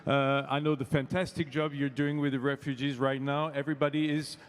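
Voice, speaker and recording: A man's voice: mid-range voice, level tone, brisk. A man co-hosting a live cooking competition, asking questions with many hesitant fillers. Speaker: co-host. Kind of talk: live event interviews. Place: large competition hall. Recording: long echo of a big hall.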